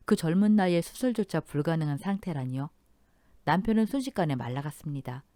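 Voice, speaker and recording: A woman narrating a dramatic family story in a measured, somber tone. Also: low-pitched, mellow, no room sound.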